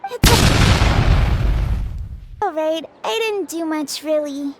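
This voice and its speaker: A woman playing a shy, forlorn, polite little girl in a video game, hesitant and soft-spoken. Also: high voice, very slow, very noisy. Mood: bashful. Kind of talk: scripted video game story dialogue. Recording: voice over background music.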